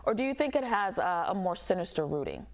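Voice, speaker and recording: A woman news host interviewing a guest in a measured, probing way. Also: medium pitch, very animated.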